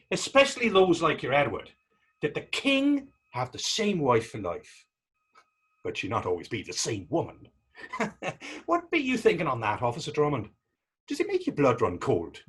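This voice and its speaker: A male stage actor as a defiant Irish needler with a rough, husky, fairly high voice. His pitch leaps and dips widely as he mocks and goads in quaint, wordy dialect. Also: wildly swooping pitch, moderate pace, a bit bright, exaggerated voice. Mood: mocking, provocative. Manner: mocking, needling, wordy old-fashioned dialect. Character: taunting, defiant Irish man needling a policeman. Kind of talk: readers theater stage acting.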